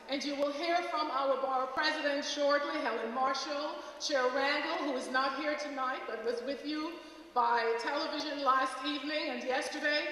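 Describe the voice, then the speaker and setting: A woman's voice: sits high, pushed and bright. Jamaican-born woman speaking in a reverberant hall. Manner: measured, stately address. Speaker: college president. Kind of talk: formal welcome speech. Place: large auditorium. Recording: echoey hall recording from far off.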